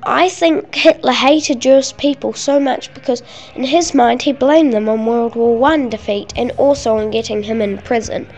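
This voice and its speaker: A woman's voice: fairly high pitch, normal speed, a little mellow, faint music behind. A woman reading scripted narration for a school project, carefully and with some stumbles over words. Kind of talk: scripted narration, read aloud.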